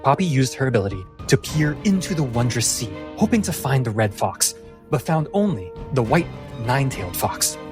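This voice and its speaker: A man's voice: mid-range voice, very animated, moderate pace. A man narrating a tabletop fantasy game as game master, in a solemn, dramatic storyteller's style. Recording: music bed under the voice.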